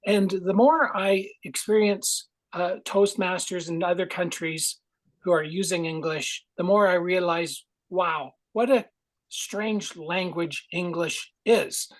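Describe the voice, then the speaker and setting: A man's voice: high voice, steady tone, slow. A man, giving a musing, digressive grammarian's report at a speaking club. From Canada. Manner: musing, digressive, friendly explainer.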